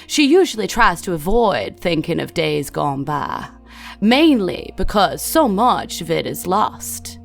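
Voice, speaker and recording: Creaky-voiced New Zealand woman narrating a game. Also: on the low side, big pitch swings, measured pace. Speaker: game master. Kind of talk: narrating a tabletop roleplaying game. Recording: music under the voice.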